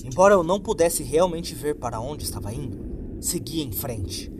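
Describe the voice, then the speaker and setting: A man's voice: fairly high pitch, lively intonation, normal speed, noisy background. A man with a Brazilian accent reads a scripted first-person horror story as a narrator. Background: background music.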